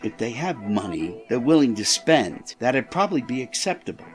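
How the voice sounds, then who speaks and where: A man's voice: mid-range voice, sing-song, moderate pace, faint music behind, lightly acted. An actor playing a pushy, menacing 1920s Chicago gangster's henchman with streetwise tough-guy slang in an audio drama. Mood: brusque, businesslike. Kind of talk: period radio drama acting.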